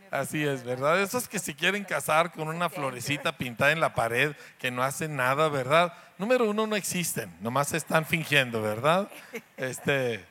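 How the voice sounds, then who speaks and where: Bright-voiced Mexican pastor, high-pitched. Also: male voice. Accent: Mexican Spanish. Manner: warm, joking, asks the audience questions. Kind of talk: church introduction to the congregation. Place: church.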